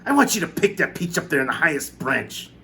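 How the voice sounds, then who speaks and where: A man's voice: fairly high pitch, sing-song, fairly quick, creaky, sharp tone, slight background noise, pitched above the performer's own voice, heavily acted. A man voicing a fat, greedy aunt who schemes and bosses a boy around.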